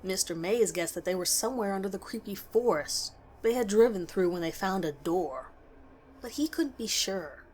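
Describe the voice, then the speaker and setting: A woman reading a scripted scary story as narrator, in a measured, dramatic way with pauses. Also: mid-range voice, lively intonation, a little mellow, a little hiss or hum.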